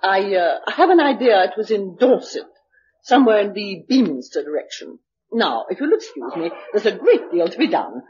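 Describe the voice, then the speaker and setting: Prim, haughty British woman, lively pitch, acted. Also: moderate pace, close to an everyday voice. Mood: brisk, evasive. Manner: prim, formal and dismissive. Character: stern, pious, haughty woman running a refuge. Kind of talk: mid-century British radio drama acting. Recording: dull, lo-fi old radio recording.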